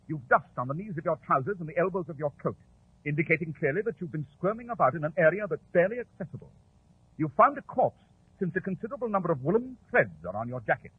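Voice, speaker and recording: A brilliant detective, superior and dryly sardonic. His voice is fairly high and very raspy, on a tinny old 1940s radio drama recording. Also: expressive, quick pace. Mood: superior, incisive. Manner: crisp, pompous, dryly sardonic.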